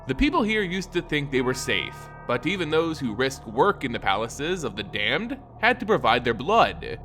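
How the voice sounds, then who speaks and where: A man giving a dramatic, scripted narration of a dark fantasy story in a solemn, theatrical way with deliberate phrasing. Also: mid-range voice, big pitch swings, faint music behind.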